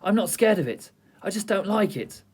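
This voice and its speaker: A man's voice: sits high, expressive, moderate pace, a little mellow, breathy edge, voice pushed up. A man reading aloud and voicing a nervous male scarecrow, fussy and insistent, in a storybook reading.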